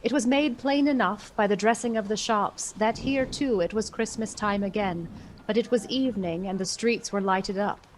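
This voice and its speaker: A woman's voice: mid-range voice, moderate pace, a little hiss or hum, natural voice, low-quality recording. A woman narrating a Victorian Christmas tale in a scripted storybook style for a radio play. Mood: descriptive.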